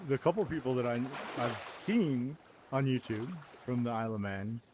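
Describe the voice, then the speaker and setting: A man's voice: mid-range voice, lively intonation, moderate pace. A man hosting a game stream, asking polite, hesitant questions and laughing often. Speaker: streamer host. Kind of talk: casual chat. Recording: noisy stream audio with clicks.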